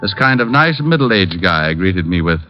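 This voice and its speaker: Private eye: brash, wry, very animated 1940s radio acting, dull vintage sound. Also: male voice, low voice, normal speed, soft background music. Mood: sardonic narrating. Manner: sardonic, wry. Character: wisecracking male private detective, brash and persistent. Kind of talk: 1940s radio acting and first-person narration. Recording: dull lo-fi old radio recording.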